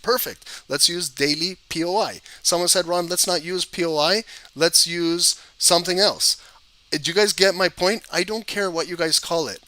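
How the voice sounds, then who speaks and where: A man with a cold and a hoarse voice, explaining trading charts to viewers in a casual, conversational way. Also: on the high side, pushed and bright, low-quality recording. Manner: conversational, addresses viewers directly. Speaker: trading educator. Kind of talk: live-streamed chart analysis.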